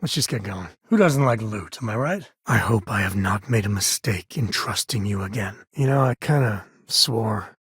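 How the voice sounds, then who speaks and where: A man's voice: fairly low pitch, expressive, normal speed, few pauses, a bit bright, slightly rough, dry recording, clean studio sound, full character voice. An actor playing an easygoing, wry space-frontier ranger and protective father in a video game, with folksy, informal speech. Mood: earnest.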